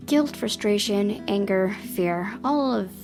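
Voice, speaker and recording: A woman's voice: medium pitch, expressive, takes it slowly. A young woman reading visual novel narration aloud as the host of a gaming video. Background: game music with guitar.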